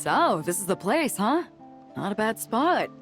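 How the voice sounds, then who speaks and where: Hearty young woman sea captain, game role, mid-pitched, cocky ease, music underneath. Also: sing-song, unhurried. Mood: hearty, eager. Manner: breezy, confident, colloquial. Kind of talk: video game character voice acting. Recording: music playing behind.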